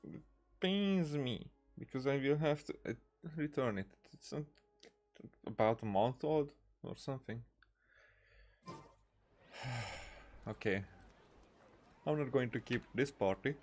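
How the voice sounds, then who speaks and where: A man's voice: medium pitch, lively intonation, slow pace, long pauses, darkish tone. A man doing casual let's-play commentary, reading game dialogue aloud in a hesitant way with many "uh"s and restarts.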